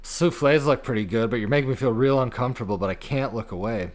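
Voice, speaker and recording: A man's voice: medium pitch, fast talker. A young man co-hosting a podcast, chatting casually and bluntly with friends, joking and swearing freely. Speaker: podcast co-host.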